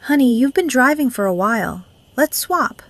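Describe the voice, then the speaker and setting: A woman playing an anxious, caring wife on a night drive in an audio drama, with an expressive, concerned delivery. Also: high-pitched, moderate pace.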